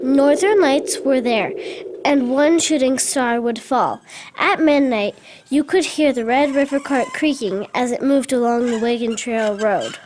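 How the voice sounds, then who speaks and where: Young child narrator with a high voice. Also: a little slow, slight background noise. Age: child, about 9 or 10. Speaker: school pupil narrator in a radio drama. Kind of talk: scripted narration, read-aloud storytelling.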